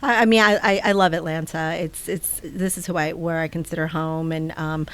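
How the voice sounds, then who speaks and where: A woman's voice: low voice, fairly even intonation, normal speed, smooth. A woman in her forties from Taiwan, a nonprofit director, talking loosely with many fillers as a podcast guest. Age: 40s. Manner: loose and rambling, many fillers, laughs easily. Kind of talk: casual interview chat.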